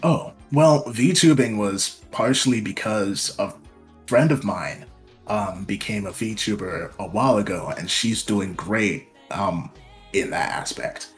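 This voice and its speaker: Young man, low-ish voice, from Nigeria, soft-spoken, self-deprecating, interview guest, faint background music. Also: slow pace. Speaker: VTuber guest. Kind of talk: casual interview talk.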